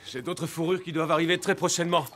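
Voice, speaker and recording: A man's voice: fairly high pitch, steady tone, fast, a bit bright, natural voice. Man playing an earnest young Gaul, farmyard noise. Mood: hospitable. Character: dutiful, courteous young Gaulish merchant, a nobleman's son. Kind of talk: animated drama dialogue.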